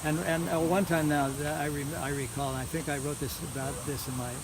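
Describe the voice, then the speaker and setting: A man's voice: on the high side, level tone, fairly quick. A man giving an informal religious talk full of recollections, often repeating words as he searches his memory. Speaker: religious lecturer. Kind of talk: informal reminiscing lecture. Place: housewarming gathering, small live audience. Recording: noisy outdoor-like recording with insects. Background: loud hiss and chirping crickets.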